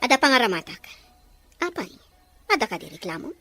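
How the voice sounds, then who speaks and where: A woman's voice: fairly high pitch, very animated, fairly quick, pushed and bright, faint music behind. A woman acting a headstrong mother with failing sight in an Ilocano radio drama, in a dramatic manner. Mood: defiant, haughty.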